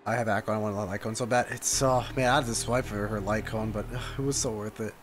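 A man's voice: on the low side, fairly even intonation, fast talker, background noise. A young man streaming, giving chatty, slangy, casual reactions to a game.

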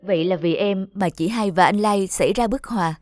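A woman's voice: medium pitch, a little fast, darkish tone, no room sound, clean studio sound, natural voice. A woman playing a tender, wistful young wife in a cartoon. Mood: sad, guilty. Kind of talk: cartoon dialogue.

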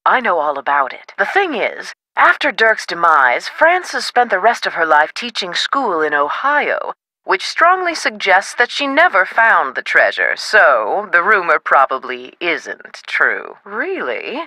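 A woman playing a self-assured, scholarly researcher in a video game, precise and theatrical with deliberate emphasis. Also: fairly low pitch, huge pitch swings, sharp tone, no room sound, heavily acted, rough recording. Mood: intrigued, knowing.

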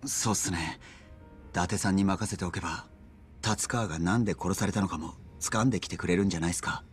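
A man's voice: low voice, fast, recorded from a distance, faint music behind, close to an everyday voice. A male actor playing an earnest, deferential young yakuza underling in a video game. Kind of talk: dramatic video game acting.

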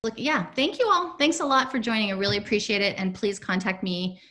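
A woman in her forties on a video call from home, presenting in a chatty, upbeat way with many fillers, her voice going hoarse. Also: mid-range voice, a little fast, pushed and bright. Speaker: program director presenting a webinar. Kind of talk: casual webinar presentation. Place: home room, indoors. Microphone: video call, laptop mic.

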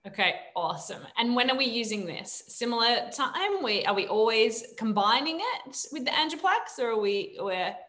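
Lively high-voiced woman asking questions. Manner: inquisitive, quick follow-up questions. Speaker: podcast co-host asking questions. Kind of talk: casual podcast chat.

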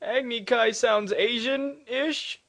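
A hot-headed teenage villain prince from a cartoon parody, acted by a man. His high voice is whiny and petulant, and his threats come out awkward. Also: slow pace, fairly bright tone, full character voice. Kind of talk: comedic cartoon parody dub.

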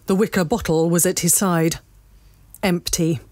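A woman narrating a dramatic scripted story in measured, deliberate phrases. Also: fairly low pitch, steady tone, darkish tone, no room sound. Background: music.

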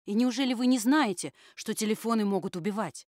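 A solemn puppet survivor , voiced by a woman, giving admonishing warnings in a level, steady voice. The sound is dry and close, with no room echo. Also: medium pitch, fairly quick, natural voice. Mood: urgent. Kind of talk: puppet theatre performance.

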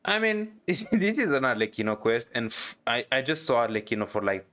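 A man giving casual gameplay reaction commentary as a streamer, musing aloud and haltingly. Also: mid-range voice, sing-song, bright, forceful tone. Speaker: game streamer.

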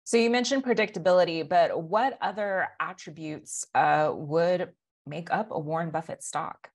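A woman's voice: fairly low pitch, moderate pace. An American woman in her thirties hosting a business news interview in a composed, articulate way. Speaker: interviewer, host.

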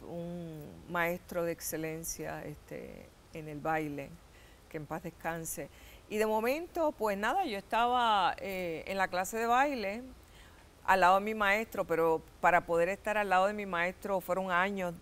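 A middle-aged Puerto Rican woman, an actress, telling stories as a guest in a TV studio interview, animated and gesturing. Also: lowish voice, unhurried. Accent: Puerto Rican Spanish. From Puerto Rico. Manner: animated storytelling with gestures, laughs and acted-out quotes. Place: TV studio set.

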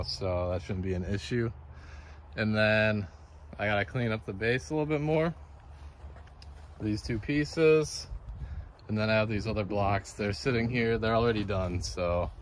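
A man with a lowish voice chats through his woodcarving project in a relaxed, matter-of-fact way. He is recorded on a camera mic out in a yard, with faint outdoor sound around him. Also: a little hiss or hum. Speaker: chainsaw carver. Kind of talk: casual workshop vlog narration.

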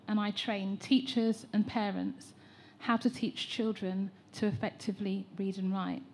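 A woman with an English accent, an educator, giving a measured prepared statement at a public meeting. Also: mid-range voice, darkish tone. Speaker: educator and teacher trainer. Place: large hall at a public meeting.

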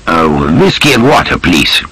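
A man plays a wary officer in an audiobook, polite and careful. His replies are short and slightly hesitant, in a rough, husky voice with plenty of movement in pitch. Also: big pitch swings, normal speed, sharp tone, full character voice, low-quality recording. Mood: deferential. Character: wary man posing as deferential officer, South African-raised. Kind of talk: audiobook character dialogue.

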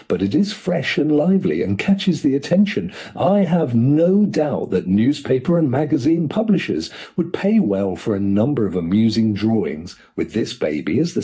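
A man voicing a calm, formal male valet who speaks in a measured, deferential way. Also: medium pitch, slightly rough.

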